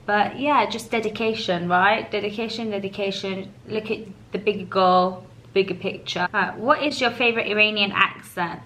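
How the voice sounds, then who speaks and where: A woman's voice: mid-range voice, moderate pace, fairly bright tone, poor audio. A woman, chatting casually and laughing as she answers viewers' questions. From England. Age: 30s. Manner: chatty, laughs often, many fillers. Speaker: vlogger. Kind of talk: casual Q&A chat.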